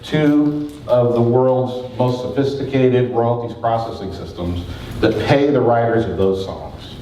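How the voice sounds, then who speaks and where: A man delivers a lively conference talk, fast and joking with a big voice. He sounds distant from the mic, and the hall throws back a strong echo with faint room noise underneath. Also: medium pitch. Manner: fast-talking, joking, energetic. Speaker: conference presenter. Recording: echoey hall from far off.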